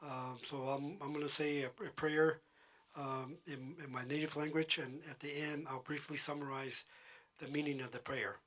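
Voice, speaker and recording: A man with a slightly raised voice speaks slowly and with care, pausing often between phrases. He addresses a group of students as an elder offering a prayer. His first language is a Pueblo tongue. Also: on the high side, level tone, a bit bright. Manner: slow, measured, with frequent pauses and fillers. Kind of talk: spoken prayer and explanation to students. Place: town hall meeting room.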